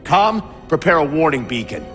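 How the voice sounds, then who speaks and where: An actor playing a stern, authoritarian military captain in a video game, with a clipped, commanding, formal way of speaking. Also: male voice, fairly high pitch, big pitch swings, normal speed, fairly bright tone, big-room sound, faint music behind. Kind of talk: dramatic video game acting.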